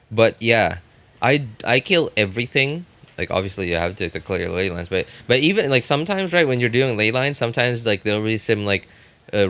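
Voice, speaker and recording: A man chatting casually as a game livestreamer, thinking aloud in a halting way and often repeating himself. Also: fairly low pitch, fairly bright tone, clean tone.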